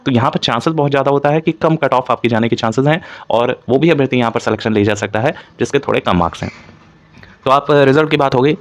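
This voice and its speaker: A man's voice: mid-range voice, quick pace. A man giving an informal analytical lecture as a coaching instructor, mixing in English terms and repeating points as he explains. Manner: Hindi mixed with frequent English terms.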